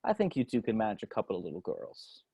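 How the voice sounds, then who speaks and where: A man's voice: on the low side, huge pitch swings, fast talker, vocal fry, warm tone, husky, heavily acted. A man playing a volatile, preening crime boss with a theatrical, imperious manner in a table read. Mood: smug, commanding. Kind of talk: table read of a film script.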